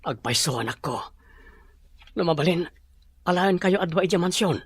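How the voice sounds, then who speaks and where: A man playing a wealthy young father in an Ilocano drama, speaking gently and persuasively to his family. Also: high-pitched, expressive, normal speed, slightly rough, no room sound, heavily acted. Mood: tender. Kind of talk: Ilocano radio-style drama acting.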